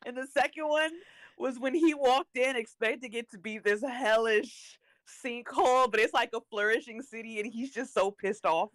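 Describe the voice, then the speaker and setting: A woman's voice: on the high side, steady tone, measured pace, no echo. A woman in a casual chat, giggly and playfully acting out bits as she talks. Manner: giggly, playfully mimics characters. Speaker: voice actor.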